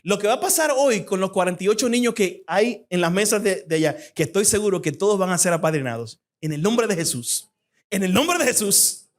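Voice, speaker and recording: A man's voice: high-pitched, animated. A young man with a Dominican Spanish accent, a guest speaker giving a fervent church appeal and personal testimony. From Dominican Republic. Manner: fervent, fast-talking preacher.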